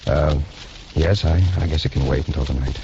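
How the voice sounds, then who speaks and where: An earnest, very deep-voiced radio-drama doctor. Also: male voice, fairly even intonation, creaky, muffled recording, background noise. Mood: hesitant, uneasy. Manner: hesitant, halting, apologetic. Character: earnest, guilt-torn young hospital doctor in love. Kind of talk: 1940s radio drama acting. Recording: 1940s radio broadcast with music behind.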